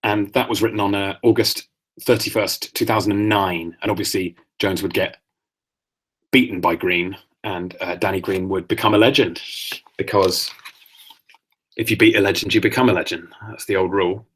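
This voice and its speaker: A man hosting a podcast, reading his own essays aloud and chatting offhand, his voice getting croaky. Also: low-pitched, fairly even intonation, a bit bright. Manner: measured reading that turns to offhand asides. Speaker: podcast host.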